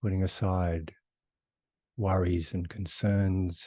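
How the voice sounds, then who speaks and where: Male meditation teacher, very slow delivery in spaced phrases, slightly husky edge, close dry sound. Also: low voice, little pitch movement, dark tone, no room sound. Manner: unhurried, phrase by phrase with long pauses. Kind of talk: guided meditation.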